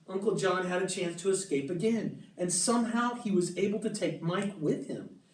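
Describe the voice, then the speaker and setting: A man reading the part of a gentle, solemn mother in a storybook, explaining earnestly and in measured phrases to her children. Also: high-pitched, close to an everyday voice. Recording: roomy echo, distant mic, music behind.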